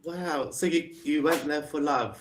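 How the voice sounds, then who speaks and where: A man's voice: fairly high pitch, measured pace, a little hiss or hum. A man with a London accent, an online English tutor chatting on a video call and asking encouraging questions. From England. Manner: friendly, encouraging, asks many questions. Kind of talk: casual video call chat. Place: home in the UK.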